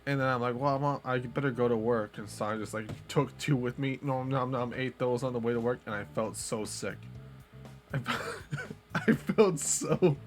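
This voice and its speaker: Laid-back rambling young man streamer. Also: mid-range voice. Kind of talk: casual live-stream chat.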